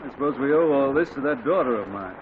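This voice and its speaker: A man's voice: mid-range voice, lively intonation, quick pace, close to an everyday voice. An actor playing an exasperated, blustering middle-aged father in a 1940s radio comedy, heard through a tinny, hissy old radio recording. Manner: blustery, indignant.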